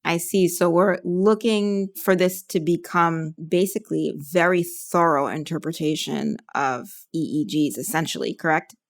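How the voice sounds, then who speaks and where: Female medical podcast host, lower register, putting prepared interview questions. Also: a little slow, few pauses. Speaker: neurologist.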